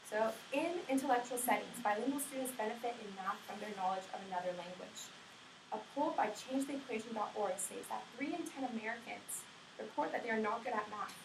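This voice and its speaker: A woman's voice: a little high, fairly quick. A young woman giving an informative class speech in earnest, often restarting and correcting herself, recorded from a distance in a classroom. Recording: roomy classroom audio from a distance with faint noise.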